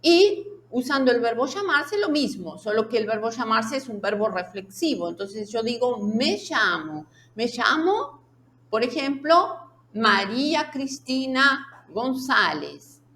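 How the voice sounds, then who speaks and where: A woman's voice: fairly high pitch, expressive, slow, a bit bright, a little hiss or hum. A woman teaching a language lesson in a didactic way, laying out example sentences and checking in with short questions. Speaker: Spanish teacher. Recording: echoey room sound.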